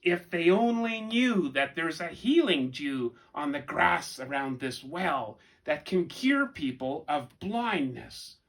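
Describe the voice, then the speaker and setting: A man voicing three mocking ravens in a spoken folktale, cawing loudly and jeering at foolish humans. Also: big pitch swings, slow pace, gravelly, hardly any pauses, full character voice, poor audio. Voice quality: loud shrill cawing, jeering.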